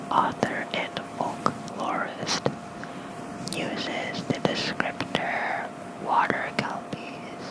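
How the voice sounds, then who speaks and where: An ASMR creator softly reading folklore aloud in a hushed, unhurried bedtime whisper. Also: rough recording.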